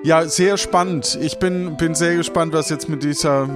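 A man hosting a podcast, speaking casually and unscripted, sometimes halting and repeating words. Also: on the high side, steady tone. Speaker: podcast host. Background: background music.

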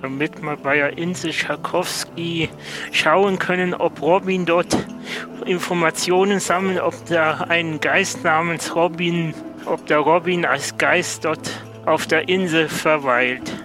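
A man speaking in a plain, earnest, deliberate way as a guest player in an improvised audio adventure. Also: on the high side, fairly even intonation, over music.

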